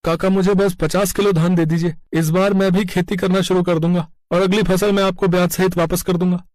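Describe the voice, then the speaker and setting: Guy playing a poor earnest village dad in a cartoon story, high voice, talks fast, dry close sound. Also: level tone, darkish tone, no echo, natural voice. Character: earnest, proud, hard-working poor village man, father. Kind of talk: scripted cartoon story dialogue.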